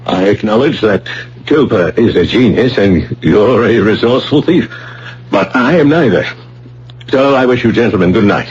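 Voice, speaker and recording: An actor playing a principled, stubborn professor in a radio play, husky, formal and firm. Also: male voice, lowish voice, animated, normal speed, a little mellow, slight background noise, lightly acted. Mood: firm refusal. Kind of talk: radio drama acting. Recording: dull old radio broadcast.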